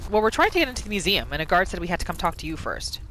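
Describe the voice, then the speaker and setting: A woman narrating a roleplay game as its host, casual and expressive, reacting aloud as she plays. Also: lowish voice, rapid-fire, poor audio. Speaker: gaming video host. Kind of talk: casual roleplay gameplay commentary. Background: game ambience.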